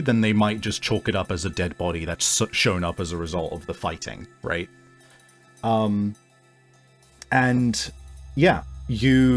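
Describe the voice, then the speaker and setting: Low-voiced man as game master, music behind. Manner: halting, wordy, explanatory. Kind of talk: improvised narration of a tabletop roleplaying game.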